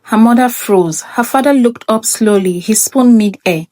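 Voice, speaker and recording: A woman reading a scripted folktale as a storyteller, measured and expressive. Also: on the high side.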